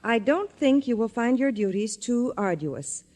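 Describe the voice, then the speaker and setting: Starstruck detective's girl Friday, animated, teasing, high pitch, classic radio acting, reverberant old recording. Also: a little slow. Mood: gushing, excited. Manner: gushing, teasing. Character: eager, starstruck young female detective's secretary.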